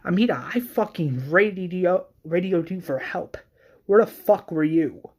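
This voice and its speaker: A man voicing a furious, bitter woman who shouts and swears accusingly in a told drama story. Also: a little high, measured pace, slightly rough. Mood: furious, desperate.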